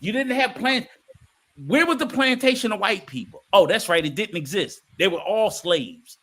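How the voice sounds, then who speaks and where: A man's voice: sits high, animated, normal speed, a bit bright. A man co-hosting a casual discussion, talking bluntly and heatedly, swearing freely and acting out little exchanges. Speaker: podcast co-host.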